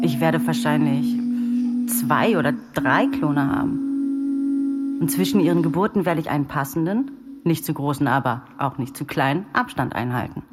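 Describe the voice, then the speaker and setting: An actress playing a cool, self-assured narrator in an experimental radio piece, speaking in a measured, deliberate, declarative way. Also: female voice, low-pitched. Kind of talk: experimental radio art, scripted recitation. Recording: voice over loud music. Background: loud music.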